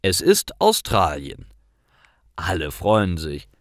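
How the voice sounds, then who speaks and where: Deep-voiced, animated male story narrator. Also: slow, no room sound, clean studio sound. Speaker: narrator of German learning stories. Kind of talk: scripted storytelling for language learners.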